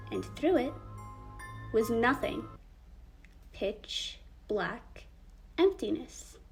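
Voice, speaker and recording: Female actor as teasing bar storyteller, higher-pitched, unhurried, suspenseful ghost-story delivery over music. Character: teasing bar storyteller spinning a spooky Halloween tale. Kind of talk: dramatic ghost-story narration.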